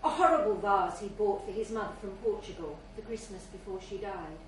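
A woman's voice: medium pitch, fairly quick. Female storyteller, literary narration, mid-range voice, heavily reverberant venue, distant microphone. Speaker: reader at a live short story event. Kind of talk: scripted short story reading, literary narration. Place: bar, live reading event. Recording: very echoey, distant mic, faint noise.

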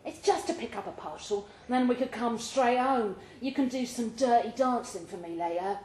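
A woman's voice: a little high, moderate pace, a little mellow, lightly acted. A woman reader voicing a hopeful, cheeky male ex-convict boyfriend in a story, casual and coaxing. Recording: roomy pub recording with faint noise.

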